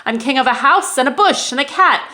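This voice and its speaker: A woman voicing a pompous, bossy male turtle king who shouts commands and brags. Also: a little high, expressive, unhurried, exaggerated voice.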